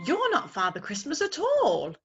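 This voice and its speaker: A woman voicing a small, eager, excitable baby barn owl boy in a high, squeaky, childish voice. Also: measured pace, muffled recording, music in the background, exaggerated voice. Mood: excited.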